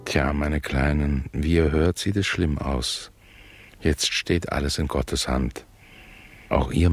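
Slow, very deep, fatherly religion teacher. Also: exaggerated voice. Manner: slow, tender, pious, doting. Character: solemn fatherly male religion teacher soothing small children. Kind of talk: German radio play acting.

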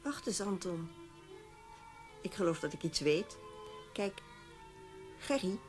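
Shrewd former secretary, middle-aged, low-pitched, frank, advisory, radio drama, music underneath. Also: female voice, expressive, slow pace, darkish tone. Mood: shrewd, advising. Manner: knowing, advisory. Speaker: actor.

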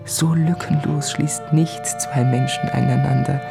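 Rastloser Schriftsteller mit knarrender Stimme. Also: male voice, medium pitch, fairly even intonation, unhurried, gravelly, music in the background. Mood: anguished longing. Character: restless, anguished, self-doubting male writer in love. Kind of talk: literary radio play monologue.

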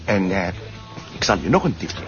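A man's voice: sits low, very animated, fairly quick, soft background music. A man playing a loyal, frank Flemish police officer who gives blunt advice in a radio drama. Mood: confiding. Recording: dull lo-fi radio recording.

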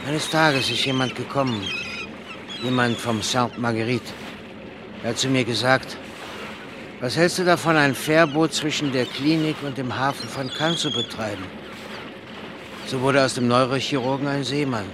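A man's voice: medium pitch, normal speed, pauses often, natural voice. A man acting in a radio drama as a frank, resigned former surgeon turned boatman, talking matter-of-factly. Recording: loud boat and outdoor background noise.

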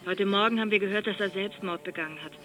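An actress playing a chatty office worker on the phone, familiar and persuasive, in radio drama dialogue. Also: female voice, medium pitch, fast, pushed and bright, lightly acted. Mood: shocked, hushed.

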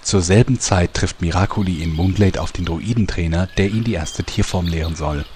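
A man's voice: sits low, normal speed, darkish tone, slight background noise. A man narrating a parody audio drama in a mock-epic announcer tone.

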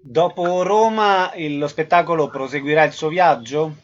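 A man presents a radio show in a fairly high voice. The sound is a little dull, as on a modest broadcast. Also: normal speed. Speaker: radio host.

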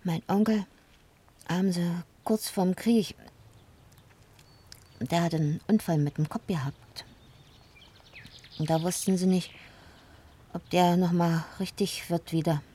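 A woman's voice: lowish voice, steady tone, slow pace, pauses often, dark tone, husky. An actress in a radio play playing a blunt, nosy, tactless woman. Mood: matter-of-fact recalling. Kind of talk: German radio play acting.

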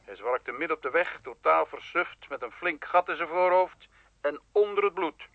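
A dull, dry telephone sound carries a brisk police sergeant. His voice is fairly high, and he reports dutifully and matter-of-factly, in radio drama style. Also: lively intonation, no echo. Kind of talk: radio play acting.

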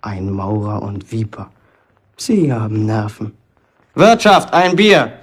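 A man's voice: lowish voice, sing-song, slow, breathy edge, full character voice. A man acting a cocky, grumbling fifteen-year-old apprentice bricklayer in a German radio play, self-important in his way of talking. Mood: indignant, grumbling. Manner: boastful, indignant, self-important.

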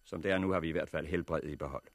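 An actor playing a composed chairman of a survivors' meeting in a 1960s radio drama, speaking formally, courteously and in measured phrases. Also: extremely low pitch, no room sound. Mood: resolute, grave. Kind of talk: 1960s radio drama acting, public address.

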